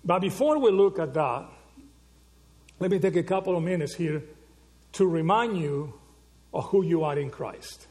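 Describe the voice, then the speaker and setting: A man's voice: a little high, expressive, normal speed, long pauses, a little mellow. A man from Argentina, preaching a sermon, asking rhetorical questions and checking in with "okay?" and "right?". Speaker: pastor.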